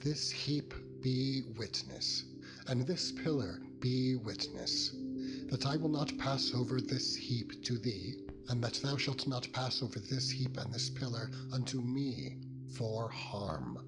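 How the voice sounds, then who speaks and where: A man's voice: mid-range voice, lively intonation, slow pace, voice pushed up. A man voicing a possessive, solemn biblical father-in-law in emphatic, dramatized scripture oratory. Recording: music bed behind the voice.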